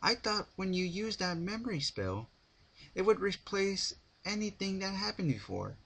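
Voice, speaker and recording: A man's voice: high-pitched, very animated, moderate pace, gravelly, fairly bright tone, voice pushed up, heavily acted. A man voicing a bouncy, curious young female cartoon pony in an eager, questioning manner. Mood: eager, puzzled.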